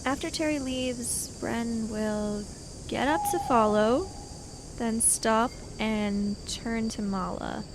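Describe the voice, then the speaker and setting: A woman playing a tabletop role-playing game, improvising narration and in-character speech in a halting, tentative way. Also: on the high side. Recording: noisy track with cricket ambience.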